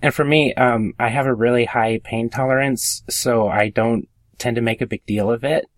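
A man co-hosting a podcast in casual conversation, speaking somewhat haltingly and repeating himself. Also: mid-range voice, fairly even intonation, no echo. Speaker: podcast co-host.

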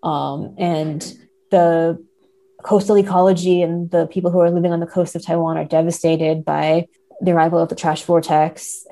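Low-voiced woman with a gravelly creak. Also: mellow. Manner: thoughtful, frequent hedging and tag questions. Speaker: podcast guest, literature scholar. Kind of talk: conversational literary discussion.

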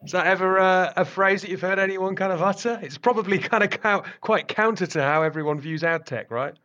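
A British man hosting a podcast interview, relaxed and chatty, often starting with "look". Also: high-pitched, brisk, highs cut off. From Britain. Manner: relaxed, leans on "look" and "kind of". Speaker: podcast host.

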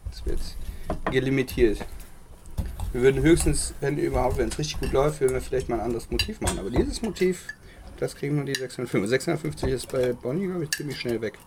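A man's voice: mid-range voice, lively intonation, quick pace, noisy background. A man co-hosting a podcast, chatting casually, joking and rambling, often laughing, with dishes clinking in the background. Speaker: podcast co-host. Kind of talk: casual chat.

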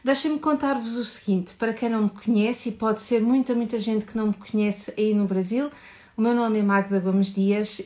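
A woman from Portugal with a European Portuguese accent opens a live stream in a warm, eager voice. Her pitch sits mid-range, and her speech races ahead before she eases off to explain things clearly. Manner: warm, enthusiastic, talks fast and slows to explain. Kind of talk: animated live stream welcome.

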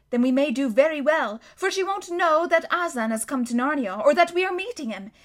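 A woman's voice: high-pitched, fairly bright tone, voice pushed up. A woman doing a shrewd, motherly beaver wife. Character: motherly, practical female beaver, shrewd and warm.

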